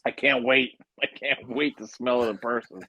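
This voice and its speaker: A man's voice: medium pitch, normal speed, breathy edge, no echo. A man in his fifties chatting casually as a guest and telling rambling, joking stories. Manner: joking, rambling storyteller, speeds up when excited. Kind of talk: casual chat, storytelling.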